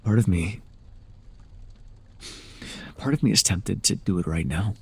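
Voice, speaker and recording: A man playing a forgotten, sorrowful former god in an ASMR audio roleplay, with a breathy, gravelly voice and a halting, brooding delivery. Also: lowish voice, exaggerated voice. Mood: mournful, brooding.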